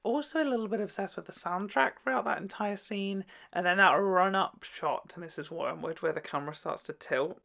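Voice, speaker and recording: A woman hosting a video, giving casual, gushing and expressive commentary in a campy way. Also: medium pitch, fairly quick, gravelly, few pauses. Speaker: YouTube host reviewing a children's TV show.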